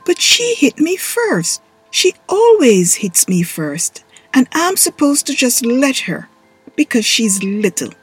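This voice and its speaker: A woman's voice: high-pitched, very animated, slow, husky, soft background music, voice pushed up, full character voice, rough recording. A woman voicing a young boy in a storybook who whines and protests. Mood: complaining. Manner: whiny and indignant. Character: young boy, big brother, quick to sulk.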